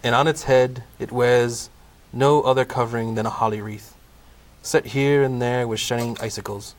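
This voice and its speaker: A man's voice: medium pitch, fairly even intonation, normal speed. A man narrating a comedic Christmas audio play from a script, in storybook style. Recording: clearly noisy amateur recording.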